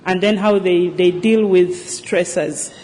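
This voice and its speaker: A woman's voice: on the low side, slow, darkish tone. A woman with a Ugandan accent explaining her health work in an unscripted interview. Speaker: health worker in a maternity ward. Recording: echoey hall with background noise.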